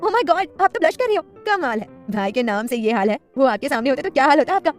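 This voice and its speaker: Woman as a bubbly, high-pitched teasing sister-in-law. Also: sing-song, fast talker, soft background music.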